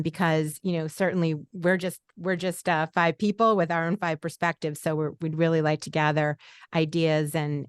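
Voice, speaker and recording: A woman in her late fifties moderates a webinar panel in a conversational way. Her voice is clear and smooth, slightly on the low side, with a dry, close sound. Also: dry recording.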